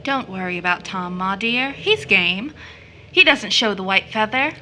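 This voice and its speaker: A woman's voice: mid-range voice, expressive, measured pace, pushed and bright, slight background noise. A woman playing an earnest, affectionate but troubled young daughter in an audio drama. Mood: resigned.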